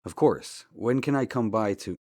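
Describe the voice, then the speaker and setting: An actor playing a polite, earnest amateur investigator in an adventure game, speaking in a courteous, measured way. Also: male voice, on the low side, lively intonation, a little mellow. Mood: guarded, courteous. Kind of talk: scripted point-and-click game dialogue. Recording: music under the dialogue. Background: background music.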